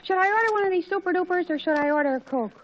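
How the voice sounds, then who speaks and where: A woman's voice: very high voice, expressive, rapid-fire. An actress playing a gushing, easily impressed teenage girl in a 1940s radio comedy. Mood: dithering. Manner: gushing, breathless exclamations. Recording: dull, muffled 1940s radio recording.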